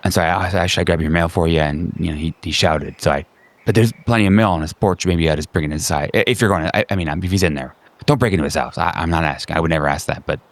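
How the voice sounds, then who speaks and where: Man playing an awkward, rambling suburban neighbor, low voice. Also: fast. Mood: nervous, worried. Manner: hesitant, rambling, trailing off.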